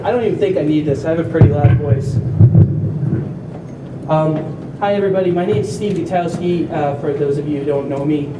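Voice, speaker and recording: A young man giving an earnest, heartfelt speech at a community walk, slightly halting as he speaks. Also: fairly high pitch. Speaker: family member speaker at an awareness walk. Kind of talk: prepared speech to a crowd. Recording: echoey, distant, noisy event recording.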